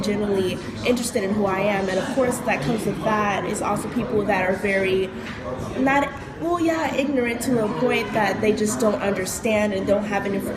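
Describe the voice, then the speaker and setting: Earnest woman, interview, roomy echo, loud crowd behind. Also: medium pitch. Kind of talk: interview testimony.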